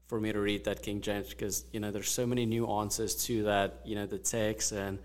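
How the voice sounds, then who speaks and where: A man whose first language is Afrikaans, co-hosting a faith podcast in a relaxed, reflective, friendly way. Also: fairly low pitch, moderate pace. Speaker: podcast co-host. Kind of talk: casual faith podcast chat.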